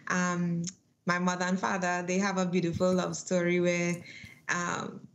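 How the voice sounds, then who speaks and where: A young woman from Trinidad with a Trinidadian accent, sharing her life testimony in a soft, measured way over a video call from home. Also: lowish voice, monotone, a little hiss or hum. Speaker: guest.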